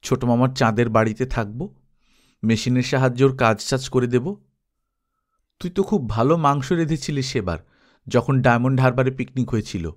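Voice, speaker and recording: A man's voice: medium pitch, moderate pace, pauses often, natural voice. A man voicing an eager, boastful boy chattering about big plans in a storybook reading. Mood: eager, excited. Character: eager boy dreaming of moon ventures.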